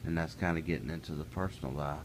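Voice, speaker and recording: A man's voice: a bass voice, fairly even intonation, fast, slight background noise. A middle-aged man talking casually to camera in a plainspoken, folksy way, building into an exasperated rant. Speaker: vlogger. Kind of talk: casual ranting monologue.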